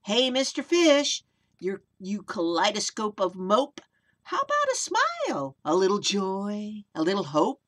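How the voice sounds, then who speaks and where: A woman voicing a perky sea creature in a storybook, coaxing and gently scolding with a lifted delivery. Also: mid-range voice, wildly swooping pitch, a little slow, exaggerated voice. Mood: coaxing, chiding.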